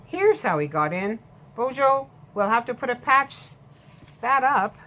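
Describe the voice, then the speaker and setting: A woman's voice: fairly high pitch, sing-song, a little slow, pushed and bright, pitched above the performer's own voice, exaggerated voice. A woman voicing a blunt, friendly male storekeeper in a storybook reading, speaking in a matter-of-fact way. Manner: plain-spoken, matter-of-fact.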